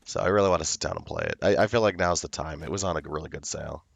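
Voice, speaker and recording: Hoarse, gravelly deep-voiced man. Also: no room sound. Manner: slow, rambling, laid-back talk. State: hoarse, recovering from illness. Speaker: game streamer. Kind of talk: casual livestream chat.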